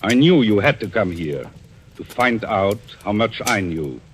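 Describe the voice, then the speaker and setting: An actor playing a vengeful, coolly controlled man in a 1940s radio suspense drama, speaking deliberately and theatrically. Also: fairly low pitch, very animated, slight background noise. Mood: coolly menacing. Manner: deliberate, theatrical, quietly menacing. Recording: old radio recording with music.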